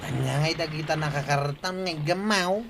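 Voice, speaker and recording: Encouraging neighbour, Ilocano accent, high male voice, radio drama with faint background noise. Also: normal speed, creaky, fairly bright tone. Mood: friendly, admiring.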